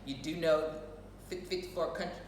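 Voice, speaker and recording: A woman's voice: low voice, steady tone, normal speed, a little mellow. Echoey, distant, outspoken American woman. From United States. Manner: emphatic, outspoken, repeats phrases for emphasis. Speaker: show co-host. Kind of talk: casual opinionated chat. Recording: roomy echo, distant mic, faint noise.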